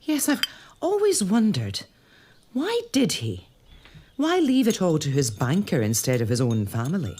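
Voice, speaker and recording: A woman's voice: low voice, huge pitch swings, unhurried, dark tone, slight background noise, full character voice. An actress playing a young mother, lady of an old castle, who speaks hesitantly and apologetically in a period audio drama. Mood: wondering.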